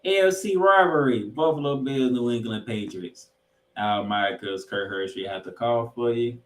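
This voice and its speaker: A man's voice: medium pitch, normal speed. A man hosting a sports podcast with casual football banter, speaking emphatically and often repeating himself or asking rhetorical questions for effect. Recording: roomy echo on voice.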